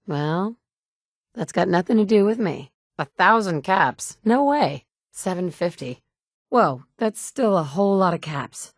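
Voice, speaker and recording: A woman's voice: on the low side, dry recording, full character voice. An actress voicing a tough, wry wasteland survivor woman in video game dialogue, talking curtly and dryly. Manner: curt and dry, shifting between blunt and gentle. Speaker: player character. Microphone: close studio mic.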